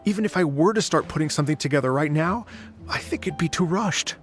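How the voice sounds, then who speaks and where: An actor playing an earnest, polite male concert conductor in a game, with expressive, musical turns of phrase that turn hesitant when he is pressed. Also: a little high, quick pace, close to an everyday voice. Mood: apologetic. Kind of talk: game dialogue. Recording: game audio with music underneath.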